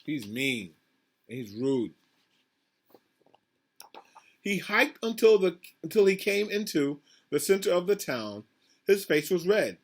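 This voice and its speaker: Casting: male principal doing a children's read-aloud, slightly raised pitch, conversational asides, questions to the listeners. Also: sing-song, takes it slowly, long pauses. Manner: addresses children directly, asks questions, repeats himself. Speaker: school principal reading aloud.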